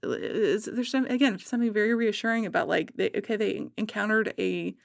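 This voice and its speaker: A woman's voice: medium pitch, fairly quick, creaky, no room sound, clean studio sound. A woman guest in a casual podcast conversation, enthusiastic and loosely rambling.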